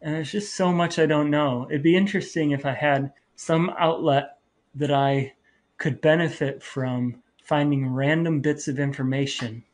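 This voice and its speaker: A man co-hosting a casual podcast, talking in a playfully wordy, mock-formal way. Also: a little high. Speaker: podcast co-host. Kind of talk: casual podcast talk between brothers.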